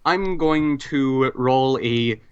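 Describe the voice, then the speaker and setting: A man playing a tabletop game, chatting casually and haltingly as he thinks aloud. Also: medium pitch, clear, resonant tone, highs cut off.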